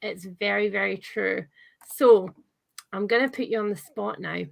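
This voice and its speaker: A woman hosting a casual live book chat, talking in an enthusiastic, chatty way with animated reactions. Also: medium pitch, normal speed, creaky. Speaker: host of a book channel livestream.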